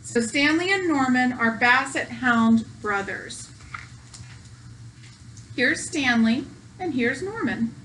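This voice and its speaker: Woman, school read-aloud, bright higher voice, affectionate simple phrasing for young children, some background noise. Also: animated, takes it slowly, long pauses, distant mic, rough recording. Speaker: school educator reading aloud to pupils.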